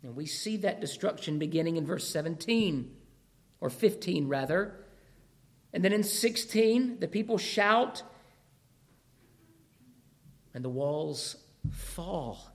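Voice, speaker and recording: A male preacher with a fairly high voice delivers a sermon, taking his time over each phrase. His voice is wearing out, and he keeps posing rhetorical questions to the congregation. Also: animated, unhurried. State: losing his voice.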